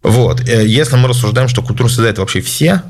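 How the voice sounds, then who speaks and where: A man hosting a casual question-and-answer session, giving his opinions in a measured way with many hesitant filler sounds. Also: medium pitch, expressive. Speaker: host answering viewer questions. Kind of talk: casual spoken Q&A.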